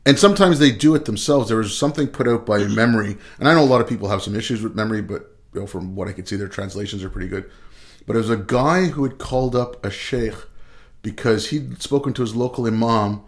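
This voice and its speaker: A man's voice: on the low side, quick pace. A man born in India and raised in Canada, a guest talking casually and irreverently, piling up examples. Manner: chatty and irreverent, piles up examples. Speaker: guest, comedy video maker. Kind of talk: casual conversational interview.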